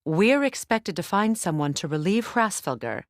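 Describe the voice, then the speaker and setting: A woman playing a proud, guarded warrior goddess in a video game, speaking in a measured, commanding, solemn manner. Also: fairly low pitch, big pitch swings, no room sound, clean studio sound. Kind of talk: dramatic video game acting.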